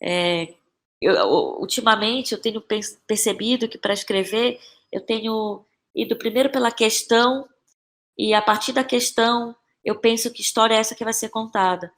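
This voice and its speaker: A woman with a Brazilian accent answering reflectively as an interview guest, thoughtful and often pausing to search for words. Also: a little high, fairly bright tone. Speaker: guest playwright and actress.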